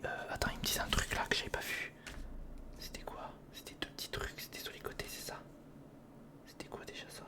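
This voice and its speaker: A man whispering breathily in a casual ASMR commentary, murmuring step by step to the viewer as if to a friend. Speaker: ASMR video creator, host. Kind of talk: ASMR, casual running commentary while building a model. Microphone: close recorder microphone.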